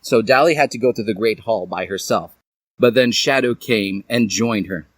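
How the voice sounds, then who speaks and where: A man's voice: fairly low pitch, normal speed, no echo. A man narrating fan fiction as a comic dramatic reading, with a theatrical, mock-serious delivery.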